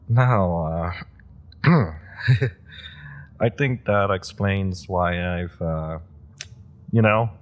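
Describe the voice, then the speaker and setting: A man's voice: deep voice, heavily acted. A man voicing an eager young superpowered rookie in an audio roleplay drama, rambling and giggling as he stumbles over his words. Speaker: voice actor.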